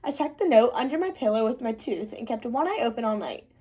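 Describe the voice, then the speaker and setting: Female storybook narrator for kids: high voice, expressive, highly animated read-aloud. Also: quick pace, husky. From United States. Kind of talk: expressive children's storybook reading.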